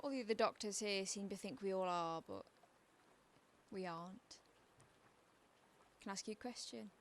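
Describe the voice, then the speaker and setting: A woman's voice: mid-range voice, moderate pace, slight background noise, lightly acted. A woman playing a defiant, bitter asylum patient in a radio drama, with a probing, wry edge to her talk. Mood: guarded, reflective.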